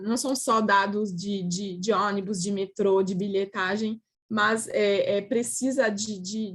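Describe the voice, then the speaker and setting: Woman, French accent, non-native speaker, fairly high voice, hesitant video-call presenter. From France. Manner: hesitant, frequent filler "é" and restarts. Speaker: panelist. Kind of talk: online roundtable presentation.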